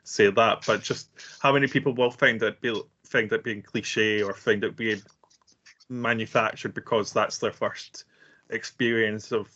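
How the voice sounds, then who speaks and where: A man co-hosting a casual music review chat, speaking in a measured way with frequent hedging. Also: lowish voice, steady tone, pushed and bright, lo-fi sound. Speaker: co-host reviewing songs.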